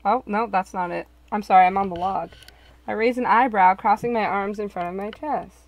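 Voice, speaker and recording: A woman's voice: medium pitch, a little fast, no echo, highs cut off. A woman casually chatting and reading visual novel narration aloud among friends. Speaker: show participant, narrator. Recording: background noise with clicks.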